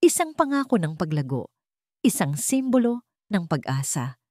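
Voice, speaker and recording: A woman's voice: fairly low pitch, very animated, moderate pace, dark tone, dry recording, studio quality. A woman reading a scripted dramatic story as a storyteller.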